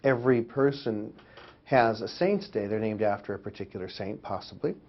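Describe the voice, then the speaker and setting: A man's voice: fairly low pitch, moderate pace. A man giving explanatory answers in an interview. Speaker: interviewee on Orthodox church life. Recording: dull, lo-fi interview audio.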